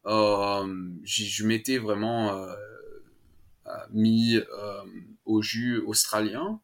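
A man's voice: lowish voice, flat delivery, extremely slow. A man from France, a father as interview guest, chatting casually with many fillers, restarts and laughs. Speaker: guest, French-speaking father.

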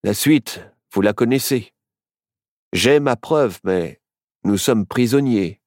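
A man's voice: mid-range voice, lively intonation, slow pace, darkish tone, dry recording, studio quality. A man voicing a serious, sensible journalist turned parrot, explaining with composure in a children's story.

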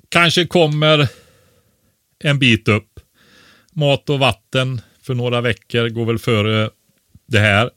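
A man's voice: mid-range voice, vocal fry, a bit bright, no echo, studio quality. A man co-hosting a podcast, explaining things casually and didactically, often tagging his statements with a short questioning word.

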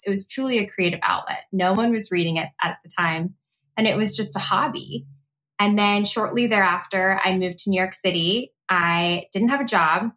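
American female lifestyle guest, mid-pitched, conversational and upbeat, telling her story casually. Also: fairly bright tone. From Arizona, United States. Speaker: podcast guest, lifestyle blogger.

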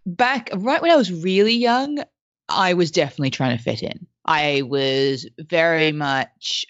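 A woman's voice: deep voice, measured pace, no echo, lo-fi sound. A woman from Australia, a podcast guest speaking candidly and reflectively about herself, with a self-deprecating manner. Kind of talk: conversational interview.

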